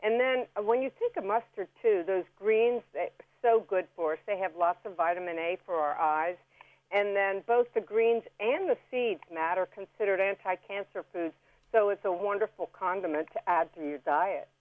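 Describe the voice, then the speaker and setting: A woman's voice: medium pitch, normal speed, no echo. A woman guest on a radio interview, explaining food and plants in a chatty, instructive way. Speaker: guest food and herb expert. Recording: phone-like narrow band radio call.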